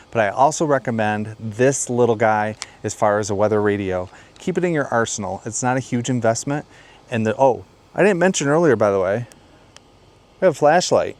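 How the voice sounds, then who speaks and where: A man's voice: mid-range voice, darkish tone. A man hosting a video, giving a casual, plainspoken product review and explaining things as he goes. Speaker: video host.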